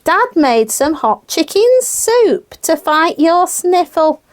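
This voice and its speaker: A woman's voice: very high voice, sing-song, slow pace, exaggerated voice. A woman voicing an earnest, childlike young puppet co-presenter reading scripted news for children. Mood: earnest, upbeat.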